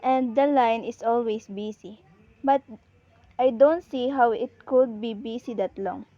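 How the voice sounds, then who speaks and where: A woman playing an anxious, sickly, demanding wife in an amateur radio play, fretful, halting and insistent. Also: on the high side, warm tone, no room sound, muffled recording, lightly acted. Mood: nervous, complaining.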